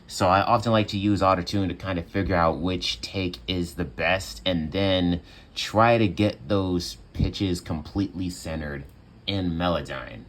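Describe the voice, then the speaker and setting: A young man with a bassy voice, casually walking through his home production setup with lots of fillers. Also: unhurried. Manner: relaxed, chatty, many fillers. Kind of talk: casual tutorial walkthrough. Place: small home studio room.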